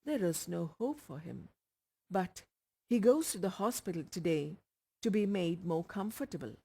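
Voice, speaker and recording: A woman's voice: fairly low pitch, animated, normal speed, creaky, warm tone, breathy edge, full character voice. A woman voicing a matter-of-fact male doctor in a storybook, clipped and clinical.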